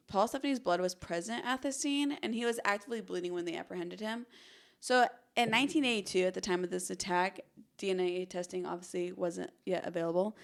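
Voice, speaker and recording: A woman's voice: medium pitch, gravelly. A woman co-hosting a true-crime podcast, telling the case in a casual, conversational way peppered with "like". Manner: casual, frequent "like" as hedging.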